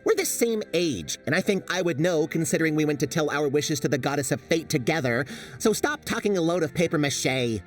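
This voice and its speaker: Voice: mid-range voice, animated, brisk, far from the mic, lightly acted. An actor playing a sardonic small forest creature, mock-polite and teasing, in a game cutscene. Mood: scoffing. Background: music.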